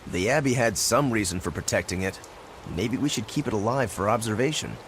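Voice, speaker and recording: A man's voice: fairly low pitch, fairly quick, slight background noise, close to an everyday voice. A man playing an earnest, easygoing, determined young swordsman in a video game, speaking in a straightforward way. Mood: matter-of-fact. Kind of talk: English video game dub acting.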